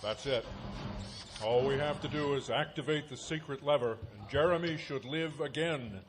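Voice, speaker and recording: A man's voice: mid-range voice, normal speed, background noise, natural voice. A man playing a scheming, bumbling mad scientist father, melodramatic and flustered, in comic live audio theatre.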